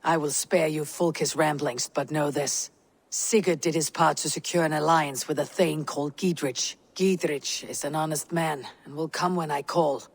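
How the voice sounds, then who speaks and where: An actress playing a stern, confident Viking warrior leader in a video game, speaking in a measured, commanding way. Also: female voice, sits low, steady tone, husky. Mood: grave, commanding. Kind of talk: video game cutscene acting.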